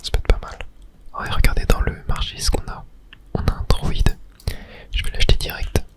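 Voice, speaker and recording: A host whispering casual ASMR commentary over a video game, hesitantly thinking aloud. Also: fairly quick.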